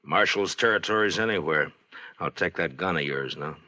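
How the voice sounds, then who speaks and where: An actor playing a stern, unyielding Old West marshal in a radio drama, speaking tersely and with firm authority. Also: male voice, low voice, normal speed, pushed and bright. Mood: commanding. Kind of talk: 1940s-50s western radio drama acting. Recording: muffled old-time radio recording, music.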